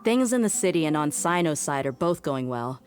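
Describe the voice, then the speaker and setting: A woman's voice: low-pitched, big pitch swings, moderate pace, soft background music. A woman playing a confident, plain-spoken mercenary ally in a video game, casual and matter-of-fact. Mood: businesslike.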